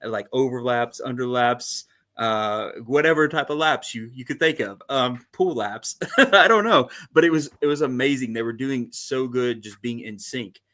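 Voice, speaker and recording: A man co-hosting a fan podcast in a casual, animated chat, laughing often and using lots of fillers. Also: mid-range voice, normal speed, hardly any pauses. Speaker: podcast co-host.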